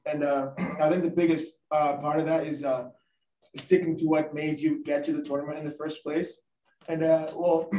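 Young man, student athlete, dark mellow tone, flat intonation, hesitant, press conference. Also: on the high side. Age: young adult. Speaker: college soccer team captain. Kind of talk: postgame press conference answers. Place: press conference room, indoors at a table. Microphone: distant camera mic, face mask on. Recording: echoey room, distant microphone.

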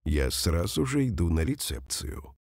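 A man reading example sentences slowly and carefully for language learners, saying each one twice. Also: low-pitched, very animated, gravelly, a little mellow, no room sound, studio quality. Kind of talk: slow repeated phrase reading for learners.

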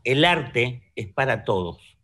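A man's voice: medium pitch, expressive, slow, sharp tone. A man in his fifties from Argentina with an Argentine Spanish accent, speaking reflectively as an artist guest in a conversational interview, often checking in with the listener. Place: room at home. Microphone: video call webcam.

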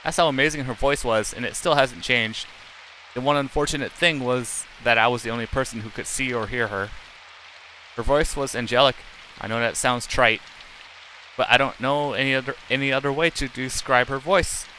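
A man's voice: mid-range voice, moderate pace, bright, forceful tone, slight background noise, poor audio. A middle-aged man live streaming, reading game text aloud in a plain, unacted way with casual asides and occasional stumbles.

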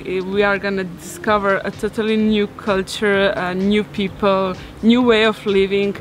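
A woman in her thirties from Slovenia speaks English with a Slovenian accent. Her voice sits at a medium pitch, in relaxed conversational vlog style. Faint traffic ambience sits behind her in the open air. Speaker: vlog co-host. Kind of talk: casual vlog chat. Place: outdoor rooftop terrace. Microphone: on-camera shotgun mic at short distance.

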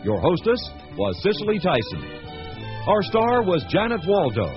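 A male announcer reads scripted radio credits. His voice is fairly high and very animated in intonation. Music plays clearly beneath him on a muffled old broadcast. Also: normal speed.

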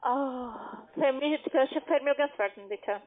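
A woman making a cold sales call over a phone line, speaking halting, non-native German phrasing. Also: high-pitched. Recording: tinny narrowband phone line.

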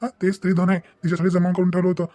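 Quick, mellow-voiced, hesitant young husband acted by a man. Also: high-pitched, completely flat delivery, lightly acted. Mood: doubtful. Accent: Vidarbha Marathi. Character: hesitant, muddled young husband, easily swayed by wife. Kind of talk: cartoon family drama dialogue.

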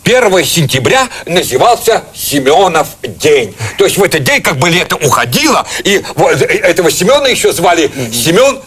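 High-pitched fussy old witch. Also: very animated, normal speed, hardly any pauses, a crowd in the background. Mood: insistent. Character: chatty old Baba Yaga witch, female, fussy storyteller. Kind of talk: theatrical children's TV fairy-tale acting. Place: indoor TV studio set.

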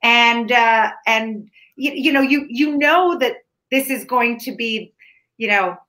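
A woman's voice: a little high, slow, sharp tone. A woman in her thirties from Canada, a native Ukrainian speaker, chatting casually as a film critic over a video call, with frequent restarts and fillers. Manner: talkative, many restarts and fillers. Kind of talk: casual film review chat. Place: home room.

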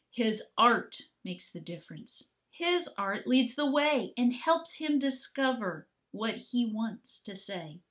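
Slow, high-voiced woman teaching young children. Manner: gentle, encouraging, speaking to young children. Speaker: art teacher reading aloud to children. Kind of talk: expressive children's storybook read-aloud and lesson.

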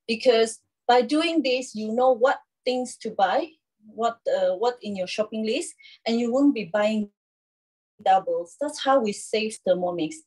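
A woman in her thirties presenting a live cooking demonstration from a home kitchen, in an instructional, halting way with frequent check-ins. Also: fairly high pitch, lively intonation, darkish tone, low-quality recording. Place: small home kitchen, indoors.

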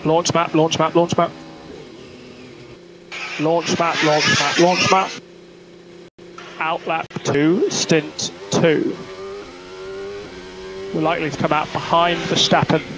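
A man's voice: a little high, flat delivery, slow, pauses often. A man working as a race engineer, giving terse, clipped technical instructions over team radio. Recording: noisy motorsport team radio.

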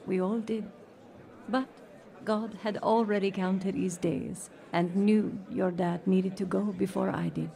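Older widowed missionary mom, tender n faithful, creaky warm voice, radio drama. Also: female voice, medium pitch, steady tone, measured pace. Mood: tender grief.

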